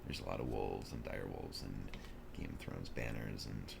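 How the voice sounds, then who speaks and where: A man talking softly in an ASMR drawing video, relaxed and slow, slipping into whispers now and then. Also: creaky, heavy background noise. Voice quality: deep voice. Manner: soft-spoken, slow and relaxed, drifting into whispers. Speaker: ASMR artist. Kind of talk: soft-spoken ASMR chat while drawing.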